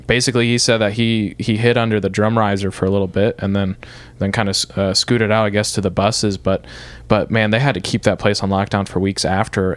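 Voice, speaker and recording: A young man from Michigan talks loosely as a guest, with plenty of restarts and fillers. His voice sits low and comes through smooth and resonant. The sound is close and dry. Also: no echo. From Michigan, north of Detroit. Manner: rambling, many restarts and fillers. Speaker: guest musician. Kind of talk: casual interview chat.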